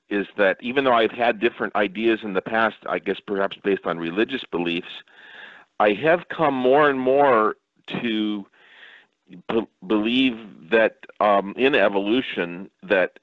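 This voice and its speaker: A man with a lowish voice weighs his words carefully, hedging as he reflects. The sound is dry, with no room echo, and dull like a lo-fi line. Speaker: panel guest. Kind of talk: casual discussion.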